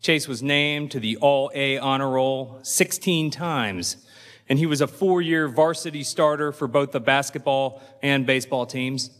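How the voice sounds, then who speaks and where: A middle-aged man formally introducing a student speaker at a ceremony, with a measured, formal reading manner. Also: medium pitch, steady tone, a bit bright. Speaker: school official introducing the speaker. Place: large school auditorium stage. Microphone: podium microphone. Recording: reverberant hall.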